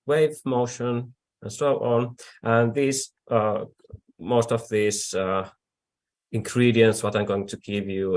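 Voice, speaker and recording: Slow-talking Finnish-accented man, low voice. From Finland. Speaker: accordion artisan, presenter. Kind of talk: explanatory webinar lecture.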